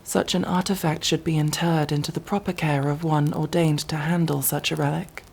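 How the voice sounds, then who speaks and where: A woman's voice: low-pitched, steady tone, normal speed, vocal fry, a little mellow, a little hiss or hum. A woman playing a devout, zealous sci-fi battle sister in an ASMR roleplay, with solemn, preaching, archaically formal speech. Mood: gentle, pious. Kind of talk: ASMR roleplay, dramatic monologue.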